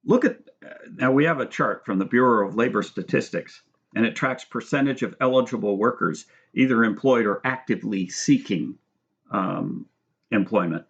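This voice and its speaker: Male author-narrator, medium pitch, measured formal read of scripted prose, slightly dull lo-fi sound. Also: a bit bright. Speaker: author reading own book aloud. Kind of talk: scripted narration with brief spoken asides.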